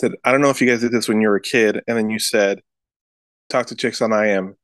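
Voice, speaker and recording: A man talks fast in a lowish voice, cutting in with teasing wisecracks during rowdy podcast banter. The recording is dry and close to the mic. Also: fairly even intonation, fairly bright tone, no room sound. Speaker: podcast co-host. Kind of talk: casual crude banter.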